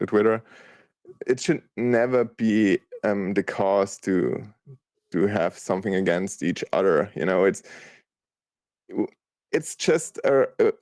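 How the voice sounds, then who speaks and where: A man's voice: fairly low pitch, darkish tone, no echo. A man with a German accent, German his first language, talking as a podcast guest in a hesitant way, with many restarts. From Austria. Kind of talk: casual chat.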